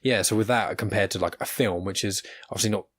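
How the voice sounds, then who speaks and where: A man hosts a relaxed interview in a dry, close sound. His speech runs fast and rambles from one half-built question to the next. The voice sits a little low. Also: no room sound. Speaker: podcast host interviewing guests. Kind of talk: casual conversational interview.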